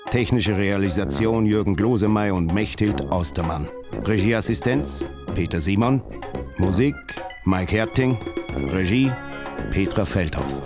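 A man reading out radio play credits in a slow, formal way. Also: on the low side. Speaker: credits announcer. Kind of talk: formal announcement. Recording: studio with music bed.

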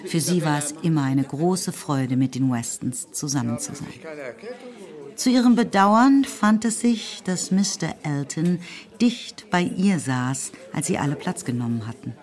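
A woman's voice: deep voice, lively intonation, measured pace, darkish tone. A woman narrator giving scripted, lightly ironic literary storytelling in a German radio play.

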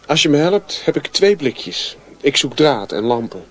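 A man's voice: on the high side, sing-song, unhurried. An actor playing an eager, blunt man scavenging for radio parts, speaking urgently in a radio drama. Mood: bargaining.

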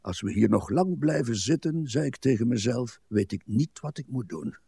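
An actor playing a weary, self-absorbed old husband in a literary radio drama, telling things in a dour, hesitant way with a husky voice. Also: male voice, medium pitch, expressive, warm tone, no room sound, clean studio sound, exaggerated voice. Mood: agitated, indifferent. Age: older. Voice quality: husky, breathy. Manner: dour, deliberate storytelling with hesitations.

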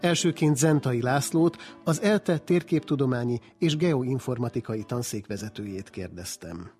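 Male radio presenter, very soft dark tone, scripted interview-show delivery over music. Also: mid-range voice, lively intonation. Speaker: radio programme host and interviewer.

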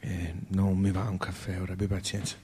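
An actor playing a weary, put-upon, plaintive man in a radio play, talking in a halting, colloquial way with a Tuscan Italian accent. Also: low voice, little pitch movement, mellow, slightly rough. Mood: irritated refusal. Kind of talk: theatrical radio drama dialogue.

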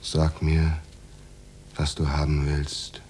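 An actor in a radio drama playing a menacing, coaxing Soviet official who speaks in a deliberate, insinuating way. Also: male voice, very deep voice, completely flat delivery, darkish tone, a little hiss or hum. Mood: coaxing menace.

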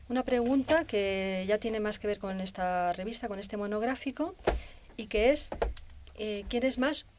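A woman hosting a radio round table, briskly prompting her guests and moving the talk along. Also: on the high side, noisy background. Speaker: radio host. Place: radio studio.

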